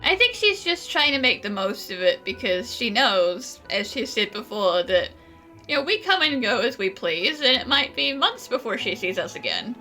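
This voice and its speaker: Woman let's-player: chatty, improvised, forward bright tone, lively intonation, light game music behind. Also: fairly high pitch, normal speed. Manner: chatty, laughs easily. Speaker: gamer commenting during playthrough. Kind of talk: casual improvised let's play dialogue and commentary.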